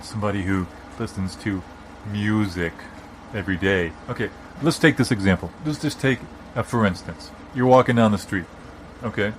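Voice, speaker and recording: Man acting bossy opinionated rambler, halting and repetitive, improvised comedy, slight background noise. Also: on the low side, lightly acted, poor audio. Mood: earnest, rambling. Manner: bossy, long-winded, argumentative. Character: stubborn rambling opinionated man arguing against amateur sleuths. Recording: background noise, informal non-studio room.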